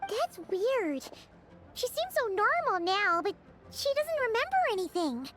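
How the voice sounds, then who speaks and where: A woman voicing a tiny, childlike, excitable fairy companion in a video game, with exclamatory delivery. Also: very high-pitched, measured pace, exaggerated voice. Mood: puzzled. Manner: speaks of self in third person, exclamatory. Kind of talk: cartoonish video game voice acting. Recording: loud music under the voice.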